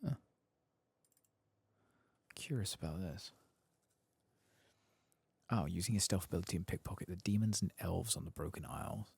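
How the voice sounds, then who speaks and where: A man with a low, very husky voice gives soft ASMR game commentary. It crackles with fry, and he murmurs his way along as if to himself, sometimes in a whisper. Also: moderate pace, pauses often, no room sound. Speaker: host of a game playthrough channel.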